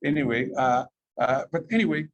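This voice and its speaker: A man's voice: mid-range voice, fairly quick. A man from South America giving a rambling medical lecture as a physician, full of fillers and restarts. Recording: dull lo-fi recording.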